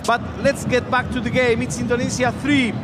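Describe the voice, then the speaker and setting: High-voiced Argentine man co-commentating. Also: flat delivery. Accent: Argentine Spanish. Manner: slow, friendly, repeats phrases for emphasis. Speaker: esports football co-commentator. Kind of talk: color commentary. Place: live event venue. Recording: loud noise behind the voice.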